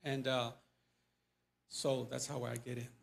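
A missionary pastor in his forties with a Mexican accent, speaking casually in a church and taking questions, animated and gesturing as he talks. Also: medium pitch, measured pace, creaky. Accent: Mexican Spanish. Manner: animated, gesturing, voice rising when stressing points. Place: church sanctuary before a pulpit. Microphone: lapel mic.

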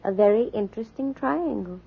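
Husky female private eye, American, sardonic, brisk wisecracks, 1940s radio drama, dull old recording. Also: fairly high pitch, lively intonation, heavily acted. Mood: dry, probing. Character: wisecracking, tough, dry-witted female private detective. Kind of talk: 1940s radio detective acting.

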